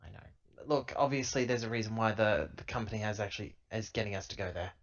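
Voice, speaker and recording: A man's voice: lowish voice, fast talker, natural voice. A man playing a weary, dutiful corporate agent in a tabletop sci-fi game, hesitant and resigned as he reasons things out. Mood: reasoning, firm. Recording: dull, lo-fi laptop recording.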